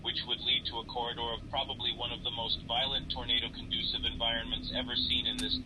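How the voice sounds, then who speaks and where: Man playing a flat-toned, worried weather forecaster. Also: mid-range voice, fast, background noise, lightly acted. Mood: concerned. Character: worried, matter-of-fact male weather forecaster. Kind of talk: forecast briefing in a disaster scenario.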